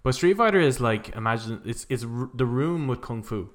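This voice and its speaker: A man's voice: mid-range voice, animated, moderate pace. A man co-hosting a podcast, chatting casually and giving his take in an informal discussion. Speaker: podcast co-host. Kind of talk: casual film discussion chat.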